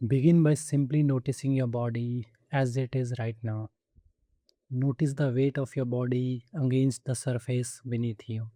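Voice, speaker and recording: A man guides a relaxation meditation in a very warm, dark, relaxed voice at a medium pitch. His delivery is soothing and gentle, with space left between short instructions. The sound is dry and intimate. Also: moderate pace, no room sound. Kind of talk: guided body scan meditation.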